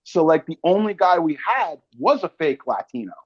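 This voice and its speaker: A man's voice: a little high, very animated, normal speed, breathy edge, dry recording, lo-fi sound. A man speaking as a podcast host in casual, emphatic interview chat, full of fan enthusiasm and loose swearing.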